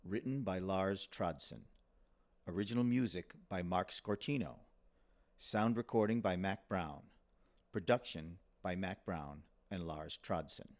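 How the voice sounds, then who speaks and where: A man reading a scripted introduction to an audio play as announcer, measured and deliberate. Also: low voice.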